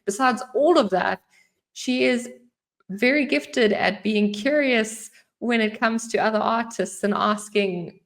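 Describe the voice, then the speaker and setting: A woman's voice: mid-range voice, vocal fry. A South African woman hosting a podcast in casual chat, chatty and laughing now and then. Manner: chatty, laughs often, fillers. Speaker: podcast host.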